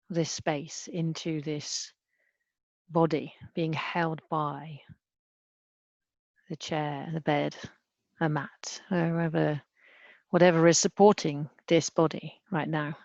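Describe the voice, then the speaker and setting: A woman leading a guided body scan meditation as a mindfulness teacher, speaking gently and unhurriedly with long pauses. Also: low voice, little pitch movement, no room sound. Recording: dull, muffled lo-fi sound.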